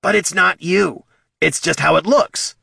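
A man's voice: sits high, a little slow, gravelly, bright, forceful tone, slightly rough, dry recording, clean studio sound, voice pushed up, exaggerated voice. A man voicing a small, scrappy male kobold who rambles nervously and talks himself in circles. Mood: coaxing.

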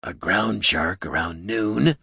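Man voicing a slow, wistful, lonely troll. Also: sits low, creaky, sharp tone, breathy edge, dry recording, studio quality, full character voice. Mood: eager to please. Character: wistful, lonely male troll longing for friendship.

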